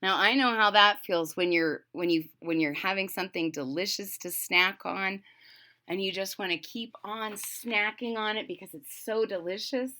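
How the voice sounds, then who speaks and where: A woman's voice: medium pitch, moderate pace, bright, forceful tone. A woman reading a children's story aloud, expressively, with simple explanatory asides for young listeners.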